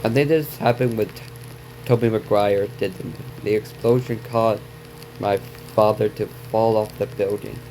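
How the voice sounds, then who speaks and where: Low-voiced man reading haltingly through heavy noise. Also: steady tone, darkish tone, low-quality recording. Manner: halting reading, stumbling over words and repeating them. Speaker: reaction video host. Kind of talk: reading on-screen story text aloud with asides.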